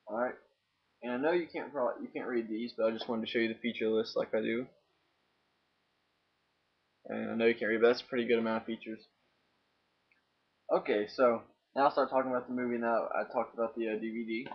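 A man's voice: medium pitch, fairly even intonation, brisk, long pauses. A young man gives a casual, unscripted review to camera in a hesitant, rambling way, repeating himself. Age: young adult, about 18. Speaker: amateur DVD reviewer. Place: small room indoors with posters. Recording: dull, lo-fi webcam audio.

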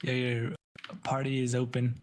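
A man's voice: medium pitch, completely flat delivery, measured pace. A man who plays games, chatting casually over voice chat. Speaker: gamer.

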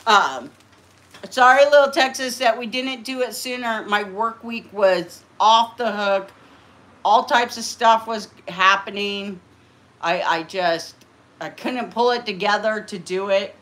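A woman's voice: mid-range voice, unhurried, fairly bright tone. A middle-aged woman hosting a casual livestream from her living room, chatty and rambling, often laughing. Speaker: livestream host. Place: living room, green party decorations.

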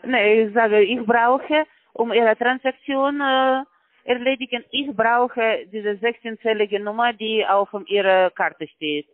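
High-voiced man in broken German. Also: dry recording. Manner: broken, halting German, patient and repetitive. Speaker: phone scammer. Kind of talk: phone call. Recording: tinny phone line.

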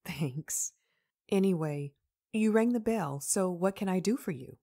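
A woman's voice: fairly low pitch, animated, measured pace, warm tone. A woman playing a friendly, chatty young mother in a comic dub.

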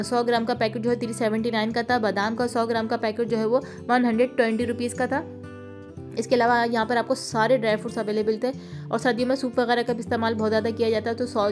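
Flat-toned Pakistani woman vlogger. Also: on the high side. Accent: Pakistani Urdu. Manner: steady, listing prices in a level, informative tone. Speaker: shopping vlogger. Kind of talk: vlog voice-over. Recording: voice-over with faint music behind.

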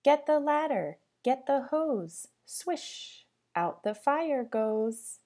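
A woman teacher reading a storybook aloud to young children, with a sing-song delivery, pausing to put questions to them. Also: sits high, lively intonation, warm tone.

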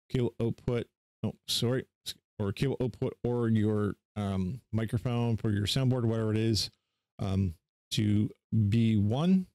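A man casually talking through software setup steps in an informal, unscripted tutorial style. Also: medium pitch, dry recording. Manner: informal, instructional, step by step. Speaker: tutorial host. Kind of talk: casual unscripted screen-share software walkthrough.

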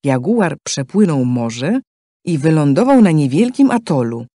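A man's voice: on the high side, sing-song, unhurried, mellow, dry recording, studio quality. A man giving scripted, dramatic narration for a game story in a solemn, declamatory manner.

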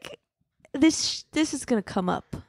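A woman podcast co-host speaks in a fairly high voice with very lively intonation. She chats informally and playfully, retelling scenes, recorded close up in a dry space. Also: normal speed, no echo. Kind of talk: casual chat about books.

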